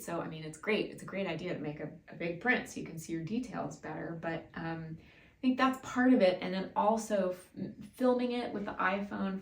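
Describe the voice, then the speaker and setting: A woman raised in Japan talks casually as a photographer in her studio, musing aloud in an informal, chatty vlog style. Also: mid-range voice. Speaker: photographer presenting a studio video. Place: indoor studio. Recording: roomy, distant mic, music behind.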